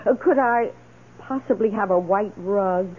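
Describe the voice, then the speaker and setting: An actress playing an eager, excitable, slightly anxious woman in a radio drama. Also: medium pitch, measured pace, natural voice. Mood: thrilled. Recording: muffled old radio broadcast recording.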